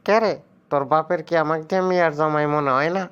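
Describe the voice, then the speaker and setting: A man's voice: on the high side, fairly even intonation, quick pace, a bit bright, clean tone, lo-fi sound, close to an everyday voice. A man playing an entitled young village son-in-law in a comedy cartoon, with a Sirajganj Bangladeshi accent and a complaining, sarcastic way of talking. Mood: indignant.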